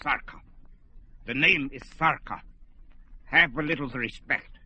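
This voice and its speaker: A fretful old sorcerer. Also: male voice, medium pitch, measured pace, pushed and bright, slightly rough, full character voice, rough recording. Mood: worried. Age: older. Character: aged male sorcerer, fretful, proud, feuding with his son. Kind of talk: old-time radio drama acting. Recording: old radio recording with music score.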